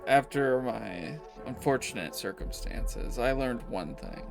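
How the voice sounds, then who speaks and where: A gruff bar owner played by a man in a tabletop game, evasive and always bargaining. The voice is creaky and moves widely in pitch, with music running behind it. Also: animated, a little slow. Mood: cagey, bargaining. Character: cagey, haggling male bar owner with a grudge. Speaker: game master or player voicing a character. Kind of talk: improvised tabletop roleplay. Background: background music.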